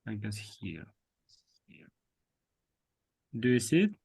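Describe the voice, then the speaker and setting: A man leads an online English practice group, stopping and restarting his sentences as he goes. He speaks slowly in a slightly low voice. It sounds like a video call. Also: huge pitch swings, creaky, darkish tone, slightly rough. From Russia. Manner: hesitant, many fillers and restarts. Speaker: host of an English speaking club. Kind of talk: casual online club meeting.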